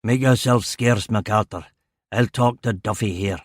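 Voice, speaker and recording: A man voicing a blunt, burly older police superintendent in an audiobook, with a gravelly Glaswegian accent. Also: lowish voice, moderate pace, no echo, studio quality, pitched below the performer's own voice, natural voice. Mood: stern, demanding. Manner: blunt, authoritative. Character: burly, angry older police chief superintendent, commanding but protective. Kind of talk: audiobook character dialogue.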